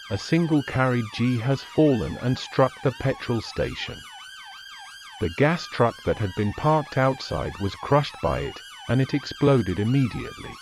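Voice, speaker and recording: A man reads out an emergency broadcast in a formal, even announcer delivery at a middle pitch. His intonation swings widely from line to line. Faint noise and distant sirens sit behind him.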